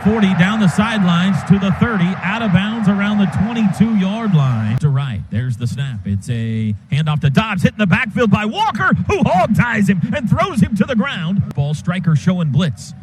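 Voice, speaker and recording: A man doing live play-by-play radio commentary of a football game from a stadium booth, in rapid clipped calls that surge on big plays. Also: sits high, big pitch swings. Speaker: play-by-play announcer. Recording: noisy live stadium broadcast.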